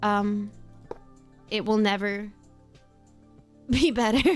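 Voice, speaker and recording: A woman's voice: medium pitch, drawn-out words, soft background music. A woman from Australia with a mostly North American-sounding accent and a slight Australian edge on some words, chatting casually on stream and reading chat aloud. She laughs often and swears casually.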